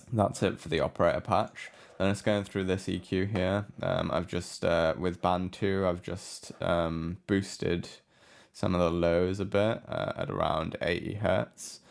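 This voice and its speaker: A man giving a casual, unscripted software walkthrough as a tutorial presenter, low-key and hesitant in manner. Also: creaky. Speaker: music production tutorial presenter.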